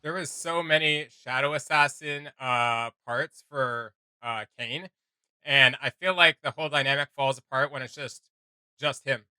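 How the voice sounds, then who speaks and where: A man's voice: mid-range voice, measured pace, pushed and bright, smooth, dry recording. A man hosting a reaction video, chatting casually in a hesitant, rambling way. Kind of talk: casual chat.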